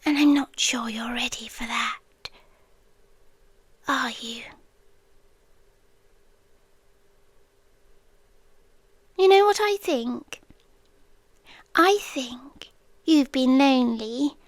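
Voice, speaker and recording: Woman acting obsessive yandere girlfriend, British accent, teasing and eerie, whispered ASMR roleplay, high-pitched, close dry sound. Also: animated, no room sound, full character voice. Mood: possessive, hushed. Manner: slow, breathy whispering with long pauses.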